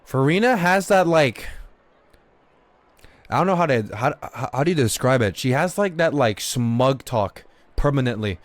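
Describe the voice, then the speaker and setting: A man's voice: medium pitch, expressive, normal speed, no room sound. A man livestreaming a game with casual, sweary, slangy commentary, often repeating his words. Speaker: streamer. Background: game music.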